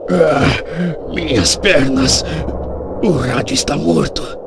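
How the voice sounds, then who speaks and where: A wounded pilot in a dubbed video game, frightened and in pain. His voice is fairly high, creaky and strained. Loud noise sits over the recording. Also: animated, slow. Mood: pained, dazed. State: in pain, weak, distressed. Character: badly injured, frightened male plane pilot, pleading. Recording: very noisy game audio with ambience.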